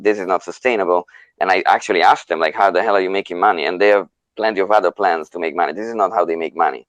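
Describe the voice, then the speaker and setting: A man's voice: low-pitched, dry recording, poor audio. A man hosting and moderating a casual online audio discussion, speaking off the cuff in an emphatic, conversational way. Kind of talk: casual unscripted group discussion. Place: live online audio chat room.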